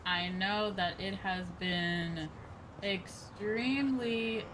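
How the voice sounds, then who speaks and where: A woman's voice: mid-range voice, drawn-out words, noisy background. A woman livestreamer chatting casually to her viewers in a rambling, repetitive way. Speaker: VTuber streamer. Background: background music.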